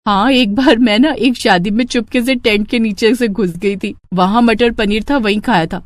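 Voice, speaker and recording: A woman voicing a spirited young village daughter and bride in an animated, expressive storybook style. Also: fairly high pitch, moderate pace, no echo, close to an everyday voice. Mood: wistful.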